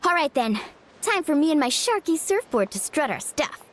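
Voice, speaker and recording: A woman voicing a bubbly young woman surfer who leads her team in a video game with a peppy, shouty delivery. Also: high voice, very animated, moderate pace. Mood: eager. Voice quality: peppy, shouty battle cries. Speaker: game character.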